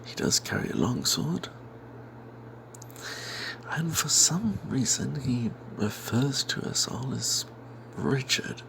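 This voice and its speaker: A man narrating a fantasy role-playing tale in first person as ASMR, in a breathy, secretive whisper, rambling wryly as if not to be overheard. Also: mid-range voice, very animated, takes it slowly, long pauses, background noise. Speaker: storyteller narrating a tabletop game tale.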